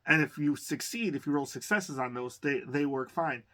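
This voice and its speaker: A man's voice: medium pitch, normal speed, sharp tone. A man hosting an unboxing in a casual, hesitant, rambling way, thinking aloud while a little under the weather. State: under the weather, occasional cough. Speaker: host of a collector's unboxing channel.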